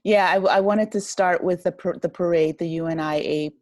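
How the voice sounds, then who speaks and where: A woman's voice: fairly low pitch, fairly even intonation, moderate pace, darkish tone, no room sound. A woman speaking as an interview guest, reflective and appreciative, in a casual conversational style. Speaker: interview guest, writer and director.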